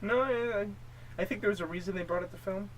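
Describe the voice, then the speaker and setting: A man's voice: high voice, fast, a bit bright. A man co-hosting a film review show, chatting casually and explaining his opinions. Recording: distant mic, faint room noise.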